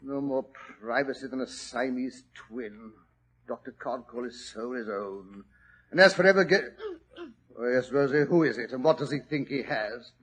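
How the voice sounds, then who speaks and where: An actor playing a polite, somewhat hesitant middle-aged doctor who narrates in a 1940s radio drama. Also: male voice, medium pitch, normal speed, a bit bright, breathy edge. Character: middle-aged male doctor, Holmes' friend, genial. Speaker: narrator and lead.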